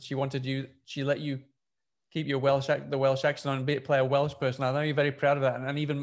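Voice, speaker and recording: A man interviewing someone casually, circling around his questions in a halting, roundabout way. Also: medium pitch.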